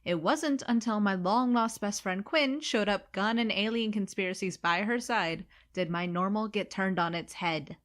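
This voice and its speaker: A woman's voice: mid-range voice, moderate pace, few pauses, close to an everyday voice. A woman playing a sarcastic, irreverent young recruit in a sci-fi comedy audio drama, casual and flippant. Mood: wry. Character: snarky, irreverent young woman, reluctant space recruit and narrator. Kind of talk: comedy sci-fi audio drama, first-person narration and dialogue.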